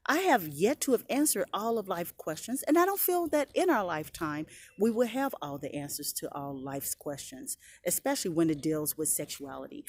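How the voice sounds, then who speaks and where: A woman's voice: medium pitch, darkish tone. A middle-aged woman talking earnestly in a reflective personal vlog, recorded outdoors on a phone or camera, sniffling now and then. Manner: earnest, heartfelt, searching for words. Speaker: vlogger. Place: outdoors, airplane passing overhead.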